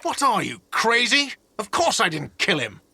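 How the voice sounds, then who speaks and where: Bright-voiced, exasperated hostel manager. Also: male voice, medium pitch, expressive, unhurried, no echo. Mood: indignant. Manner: sarcastic, irritated, condescending. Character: exasperated, sarcastic male hostel cafeteria manager. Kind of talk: video game voice acting.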